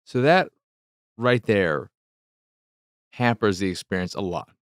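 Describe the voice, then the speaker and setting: A man hosting a solo video game review podcast, speaking in short, halting phrases with pauses. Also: lowish voice, animated, clean tone, dry recording, studio quality. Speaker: podcast host.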